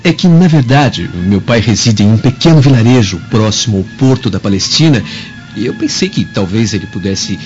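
A man's voice: mid-range voice, huge pitch swings, normal speed, darkish tone, faint music behind. An actor playing a meek, deferential slave in a radio drama, humble and apologetic in his speech. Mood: hesitant, explaining. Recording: dull lo-fi radio drama recording.

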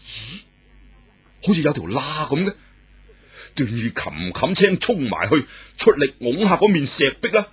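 A man telling a martial arts tale in traditional storytelling style, animated and exclamatory with dramatic pauses. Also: medium pitch, slightly rough.

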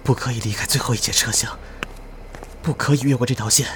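A man's voice: medium pitch, steady tone, fast, slight background noise, lightly acted. A man playing an earnest, anxious young man in an eerie audio drama, speaking hesitantly. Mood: urgent, anxious.

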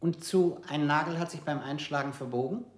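Man voicing a clinical male interrogator, fairly high voice, echoey room, faint noise. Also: fairly quick, natural voice. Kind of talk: radio drama acting.

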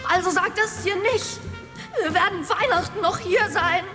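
Very high-voiced brash gangster's companion. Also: female voice, moderate pace, over music. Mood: indignant. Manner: indignant, argumentative. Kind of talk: radio drama acting.

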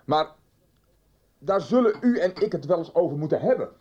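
A slightly dull radio soap recording of a stuffy schoolmaster. He is formal and insistent, faltering politely, in a husky voice with a lively rise and fall. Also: a little high, normal speed. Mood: hesitant, insistent. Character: stuffy, persistent schoolmaster, polite but disapproving. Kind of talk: naturalistic 1990s Dutch radio soap acting.

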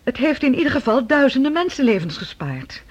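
Earnest scientist's daughter, radio drama, husky, high voice, formal, persuasive, muffled lo-fi sound. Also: female voice, very animated, a little fast, heavily acted. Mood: earnest, defensive. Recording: dull, lo-fi radio recording.

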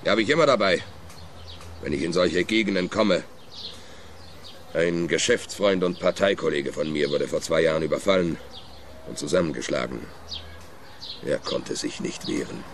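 A man's voice: rumbling low, normal speed, long pauses, slight background noise. A man with a Swiss German accent playing a cold, arrogant wealthy wine magnate in a radio play. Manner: cold, arrogant, self-assured.

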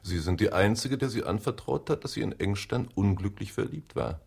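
A probing homicide detective with a low, gravelly voice. His intonation moves widely as he puts formal, pointed questions. The rough-edged delivery suits a radio crime drama. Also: lively intonation, fairly quick, darkish tone, heavily acted. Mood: insistent. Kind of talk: radio drama interrogation dialogue.

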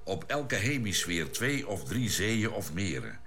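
An actor playing a thoughtful ship's doctor and scientist in a Dutch science-fiction radio drama, explaining things deliberately. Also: male voice, sits low, very animated, a bit bright, heavily acted. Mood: thoughtful, explanatory. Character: measured, thoughtful male scientist-doctor aboard a spaceship. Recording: noisy old radio drama recording.

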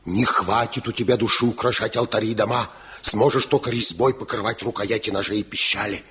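A man's voice: on the low side, fairly even intonation, a little fast, fairly bright tone, slightly rough. A pious elder master craftsman. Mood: solemn. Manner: earnest, pleading, reproachful. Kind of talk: 1970s radio play acting. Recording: dull lo-fi old radio recording.

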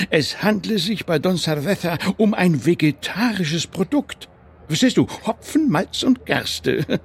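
A man's voice: fairly high pitch, vocal fry, slightly rough, heavily acted. An actor playing a portly, self-satisfied zoo director who explains things to his lover pompously in a radio play. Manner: pompous, explanatory, condescendingly affectionate. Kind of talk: theatrical radio drama dialogue.

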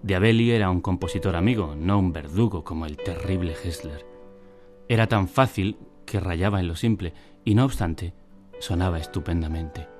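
A man's voice: low-pitched, moderate pace, darkish tone, faint music behind, natural voice. An actor playing a man who recounts his boyhood in wry, literary radio drama narration. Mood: wry, nostalgic. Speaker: first-person narrator.

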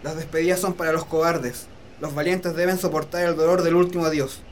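A man plays a humble young farmhand in love, in a radio drama. His voice is high for a man, earnest and poetic. There is faint noise behind him. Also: level tone, a little fast, lightly acted. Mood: resigned, proud. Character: humble, lovelorn young peasant farmhand, resigned and tender. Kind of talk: romantic radio drama acting.

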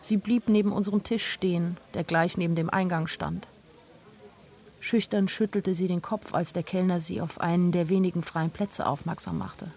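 A woman's voice: on the low side, fairly even intonation, moderate pace, a little mellow, natural voice. An actress playing a sensitive young woman who tells her own story in an intimate, literary radio drama narration. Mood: wistful. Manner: literary, intimate first-person telling.

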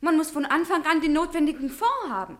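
An actress playing a clever young female student who speaks briskly and confidently, in a radio play. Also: sits high, lively intonation. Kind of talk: 1950s radio play acting.

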